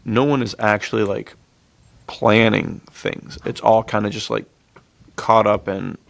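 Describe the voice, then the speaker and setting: A man's voice: lowish voice, fairly even intonation, unhurried, gravelly, a bit bright, no echo. A man co-hosting a podcast, discussing films in an informal, laid-back, slangy way. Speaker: podcast co-host. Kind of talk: casual film discussion.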